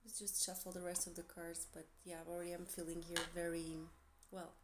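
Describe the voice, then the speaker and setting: A woman's voice: lowish voice, flat delivery, fairly quick, noisy background. A woman from Portugal with a Portuguese accent, chatting casually to camera as she gives a tarot reading in a meandering way. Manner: chatty, meandering, light laughs. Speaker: tarot reader.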